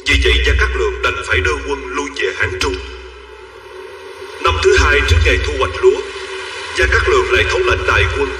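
A man reading scripted storytelling narration for a historical animated series. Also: mid-range voice, a little fast, long pauses. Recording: reverberant, distant narration over clear music.

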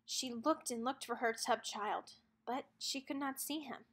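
A woman narrating a children's storybook aloud in an expressive read-aloud style. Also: high-pitched, a little slow, breathy edge.

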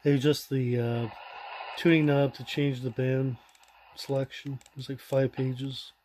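A man giving a casual, halting hands-on walkthrough as a radio hobbyist, in loose unscripted phrasing. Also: medium pitch, flat delivery. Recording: loud radio static and hiss. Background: shortwave receiver noise.

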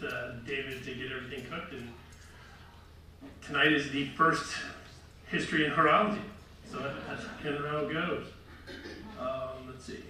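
A middle-aged man with a loud voice gives a casual, rambling talk with slides to a seated audience, often correcting himself. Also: mid-range voice. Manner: rambling, self-correcting, light laughs. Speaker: lecture presenter. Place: large room with seated audience. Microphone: far camera. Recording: echoey hall from far off, faint noise.

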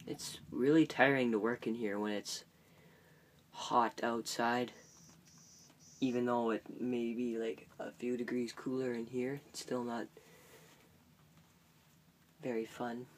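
A man's voice: mid-range voice, little pitch movement, slow pace, long pauses. A young man from Canada giving a casual, folksy, rambling handheld video tour outdoors on a farm. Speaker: vlogger and hobby farmer. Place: outdoors on rural farmland in rain. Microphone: handheld phone or camera mic. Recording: loud outdoor noise, handheld camera.

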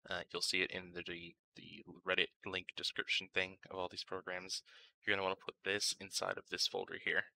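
A man talks through a software tutorial in an informal way, thinking aloud as he goes. His voice is low and gravelly at the edges, yet very bright and forceful. He sounds like a casual guide explaining each step. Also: completely flat delivery, brisk, low-quality recording. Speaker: tutorial host.

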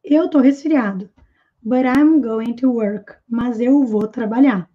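A woman in her thirties teaching an online English lesson, slowly repeating example phrases and explaining them with care. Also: a little high, highs cut off. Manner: slow, careful repetition of example phrases.

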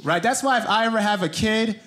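An American man in his thirties from New Jersey performing a conversational stand-up routine, with deliberate pauses and acted-out quotes. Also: sits high, a bit bright. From New Jersey, United States. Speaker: stand-up comedian. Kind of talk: live stand-up comedy routine. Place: theater stage in front of an audience. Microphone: handheld microphone. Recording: live stage mic with audience noise.

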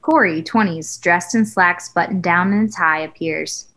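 A woman narrates a screenplay's directions. Her voice sits a little on the low side for a woman, and she reads as a script narrator. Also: a little slow, fairly bright tone. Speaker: stage directions reader.

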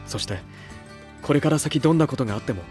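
An earnest, supportive music label head in an anime voice drama, acted by a man. He speaks in a measured, sincere way at a middle pitch, with faint music underneath. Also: darkish tone, lightly acted. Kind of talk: Japanese anime voice drama acting.

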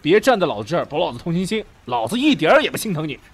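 A man playing a gruff, short-tempered but secretly caring young man in a radio drama, blunt and colloquial. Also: a little high, big pitch swings, very fast, exaggerated voice. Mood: irritated, worried.

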